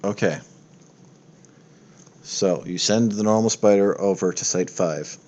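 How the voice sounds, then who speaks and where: A man's voice: lowish voice, unhurried, darkish tone. A man acting as game master, describing scenes and questioning players during a tabletop roleplaying game.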